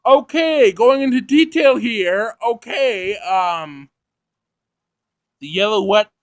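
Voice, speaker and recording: A man's voice: sits high, animated, slow pace, no room sound, clean studio sound. A man hosting a visual novel read-along, narrating the text and reacting casually and animatedly. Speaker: gaming video host.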